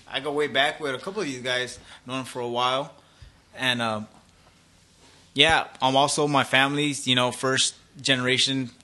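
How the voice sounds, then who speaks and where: A man from Orange County introducing himself on a podcast, earnest and enthusiastic with some halting restarts. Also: medium pitch, bright, forceful tone. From Orange County, California. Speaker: podcast guest. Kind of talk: casual self-introduction.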